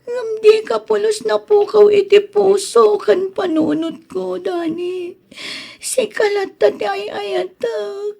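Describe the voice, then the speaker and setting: A woman's voice: extremely high pitch, fairly even intonation, slow pace, vocal fry, warm tone, exaggerated voice. An actress playing a lovelorn, pleading young woman in an emotional radio drama style. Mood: tearful pleading. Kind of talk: Ilocano radio drama acting.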